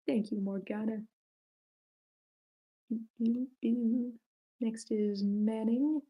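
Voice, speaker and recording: A woman's voice: on the high side, fairly even intonation, takes it slowly, dull audio. A woman hosting a script table read in a casual way over an online group call.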